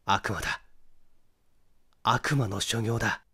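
A man voicing a methodical, assured young amateur detective in an audiobook, with deliberate, emphatic explanation. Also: on the low side, lively intonation, sharp tone, husky, full character voice. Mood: grave.

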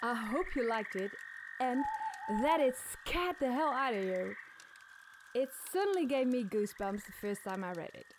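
A woman's voice: fairly high pitch, animated, moderate pace, low-quality recording. A woman podcast host delivering dramatic scripted storytelling. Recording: clear background night ambience noise.

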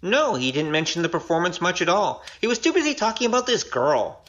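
A woman voicing a wry, quick-witted young male trickster in a kung fu audio drama. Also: on the low side, sing-song, a bit bright, dull audio. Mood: teasing surprise. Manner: wry, casual, scheming.

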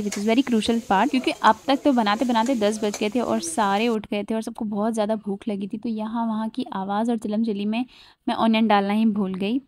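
Chatty high-voiced woman cooking vlogger. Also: a little mellow, no echo. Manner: chatty, mixes English phrases into Hindi. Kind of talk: chatty cooking vlog voice-over. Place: home kitchen, indoors.

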